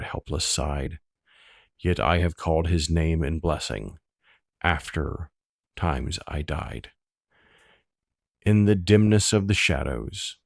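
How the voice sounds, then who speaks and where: A man reciting poetry solemnly, with measured, deliberate phrasing. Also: low voice, gravelly, dry recording, studio quality. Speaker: poetry reader.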